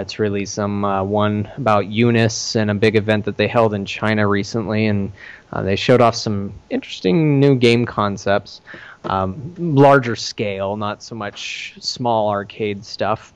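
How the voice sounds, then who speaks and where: A man hosts a podcast with a smooth, resonant voice. He runs through news conversationally, feeling for his words. The recording is slightly muffled. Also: mid-range voice. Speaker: podcast host. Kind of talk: casual podcast wrap-up, announcing news.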